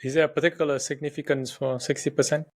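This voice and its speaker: A man's voice: on the high side, level tone, quick pace. A man hosting a podcast interview, asking friendly questions and adding short encouraging remarks. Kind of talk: interview, casual chat.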